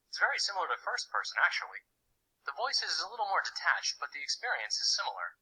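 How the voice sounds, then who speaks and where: An earnest, slightly put-upon cartoon boy explains things in a conversational, wry way. The voice is sharp and bright with some rasp. The pitch moves around in an animated way. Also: heavily acted, low-quality recording. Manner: clear explanatory delivery with wry asides.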